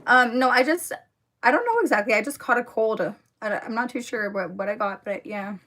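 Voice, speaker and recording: A young woman with a voice hoarse from a cold, chatting casually with viewers during a livestream and filling her talk with "like" and "um". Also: on the high side, expressive, normal speed, a bit bright. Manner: chatty, filler-heavy, talks to viewers in the comments. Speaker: livestream host. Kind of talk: casual livestream chat while doing makeup.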